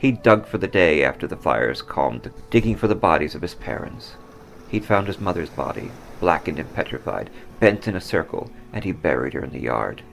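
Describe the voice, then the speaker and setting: A man narrating a scripted story dramatically, with solemn, deliberate emphasis. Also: deep voice, big pitch swings, creaky, a bit bright, faint music behind. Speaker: author reading own story.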